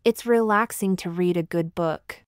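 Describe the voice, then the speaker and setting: Smooth, low-pitched woman reading English prompts. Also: a little slow, no echo, studio quality. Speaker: English translation reader.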